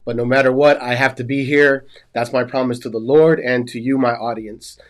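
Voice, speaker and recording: A man's voice: medium pitch, fairly even intonation, normal speed. A man hosting a Bible study show, sounding nasal and stuffy from a cold, and earnestly retelling scripture background as a story. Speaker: host.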